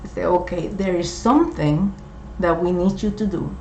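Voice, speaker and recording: A middle-aged woman from Puerto Rico, with a Puerto Rican accent and Spanish as her first language, talking in a slow, halting way with many fillers. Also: lowish voice, darkish tone, slight background noise. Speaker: co-host. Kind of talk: casual chat. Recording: dull, echoey room recording.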